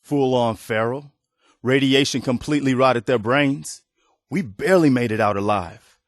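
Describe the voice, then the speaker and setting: An actor playing a weary, earnest, plainspoken militia leader who protects refugees, in post-apocalyptic video game dialogue. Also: male voice, mid-range voice, moderate pace, no echo, lightly acted. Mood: weary, grim.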